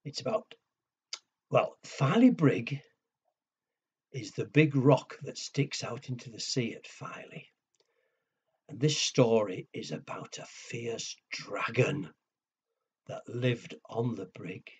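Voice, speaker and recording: A man telling a story to young children, with a husky voice, hushed dramatic pauses and simple questions to his listeners. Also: medium pitch, lively intonation. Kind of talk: children's storytelling and nursery rhymes. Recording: dull lo-fi recording.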